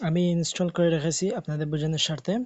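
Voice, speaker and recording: A man's voice: on the high side, little pitch movement, racing, no room sound. A man narrating a how-to video as a tech host, in repetitive, instructional step-by-step phrasing.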